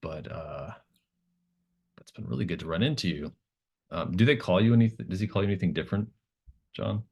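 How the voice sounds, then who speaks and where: A man's voice: low voice, a little fast, vocal fry, pauses often, breathy edge. A man narrating a tabletop roleplaying game as game master, explaining and improvising in a hesitant, thinking-aloud manner.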